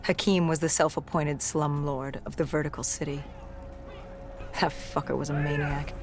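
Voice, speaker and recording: A woman playing a defiant, battle-weary soldier in a video game, grimly and bluntly narrating a mission. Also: low voice. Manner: blunt, bitter, grim.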